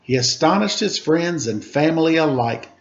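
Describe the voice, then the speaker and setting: A man reads a rhyming picture book to children with very lively, swooping intonation. His voice sits a little high and has a gravelly creak. The delivery is playful and expressive, on a slightly muffled, lo-fi recording. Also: moderate pace. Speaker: storyteller reading a children's picture book aloud. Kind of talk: animated read-aloud storytelling with rhyming verse. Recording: slightly dull, lo-fi home recording.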